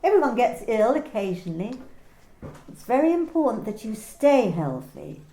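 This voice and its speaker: A woman's voice: a little high, big pitch swings, dark tone, roomy sound, low-quality recording. A woman playing a cold, controlling manager. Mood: smooth. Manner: measured, coolly insistent. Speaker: amateur actor in a radio play. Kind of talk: amateur radio play reading.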